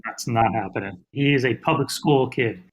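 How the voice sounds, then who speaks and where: A man's voice: medium pitch, moderate pace. A man co-hosting a finance show, making short, matter-of-fact remarks. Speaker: co-host.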